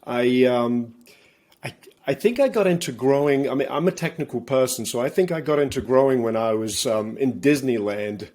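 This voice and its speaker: An English-accented man in his thirties, speaking as a guest in casual conversation. He talks haltingly, circling back with restarts and fillers. He is heard through a video call from a room at home. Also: mid-range voice, a little mellow. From England. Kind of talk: casual interview.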